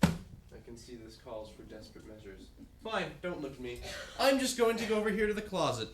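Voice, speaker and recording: A man's voice: medium pitch, very animated, fairly quick, roomy sound, heavy background noise, close to an everyday voice. An actor playing a playful husband who narrates his own actions in a mock-heroic way. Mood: mock dramatic. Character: playful husband, theatrical and wry.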